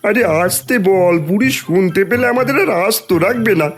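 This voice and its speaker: Cartoon village crook, male actor: high-pitched, conspiratorial, bossy and urgent. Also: a little fast, faint music behind, lightly acted. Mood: nervous, urgent. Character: bossy, sneaky village orange thief. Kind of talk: Bengali fairy-tale cartoon acting.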